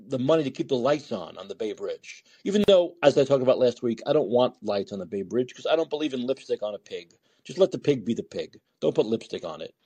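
A male call-in host with a very lively, varied delivery and a dark, relaxed tone. He rambles through opinionated monologues in a blunt, sarcastic way. His voice is a little husky. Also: medium pitch. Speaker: host of a call-in talk show. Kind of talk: opinionated live talk radio monologue.

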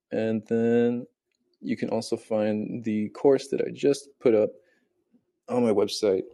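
A man's voice: on the low side, creaky, dark tone. A man hosting a casual solo podcast, rambling with lots of fillers and restarts while making breakfast. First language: not Spanish. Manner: rambling, filler-heavy, self-correcting. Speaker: podcast host.

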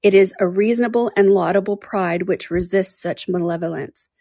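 A woman reading aloud and voicing a calm, sensible young woman consoling her sister in measured words. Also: medium pitch, gravelly, husky, no room sound, studio quality.

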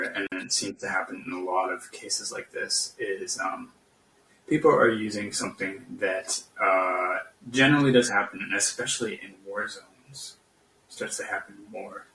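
In an echoey room, somewhat far from the mic, a man argues politics casually. His voice is lowish but bright and forceful, and he talks in a halting, skeptical way. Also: a little hiss or hum. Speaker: podcast guest caller.

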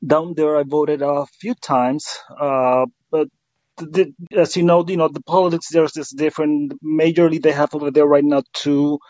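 A man, calling in with a halting, word-searching question. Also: a little high, flat delivery, a little mellow, no room sound. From Puerto Rico. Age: 50s. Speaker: caller. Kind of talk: call-in question. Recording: dull lo-fi call-in line.

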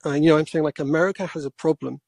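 Quiet, hesitant man with a creaky voice. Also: a little high, steady tone, dry recording. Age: 40s. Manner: hesitant, halting. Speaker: caller. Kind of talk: casual political talk.

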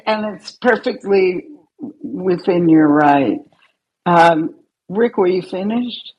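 A host with a mid-range voice moderates an online discussion at a very slow pace. The manner is conciliatory and a little hesitant, in casual conversational language. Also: medium pitch, highs cut off. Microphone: remote app call.

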